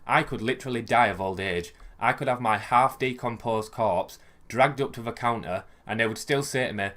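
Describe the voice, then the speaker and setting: A man's voice: mid-range voice, normal speed, a bit bright. A young man with a West Yorkshire accent, ranting sarcastically to camera as a vlogger and swearing freely. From West Yorkshire, England. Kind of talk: ranting comic monologue to camera.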